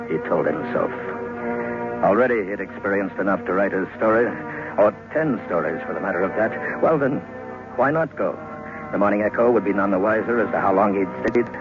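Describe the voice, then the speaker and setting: Low-voiced man narrating horror on tinny old radio. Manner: suspenseful, deliberate phrasing. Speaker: storyteller. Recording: thin, band-limited vintage radio recording with music.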